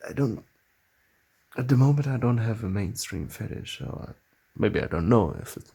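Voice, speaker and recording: A man with a German accent, a native German speaker, hosting his own channel in a casual talk to camera and often pausing and restarting as he searches for words. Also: lowish voice, vocal fry, warm tone, breathy edge. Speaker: channel host.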